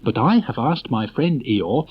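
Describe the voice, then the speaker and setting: A man voicing a brisk, officious rabbit in a children's storybook, announcing things in a clipped, bossy way. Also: mid-range voice, expressive, breathy edge, voice pushed up. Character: brisk, officious male rabbit organising a meeting. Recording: muffled old cassette tape transfer.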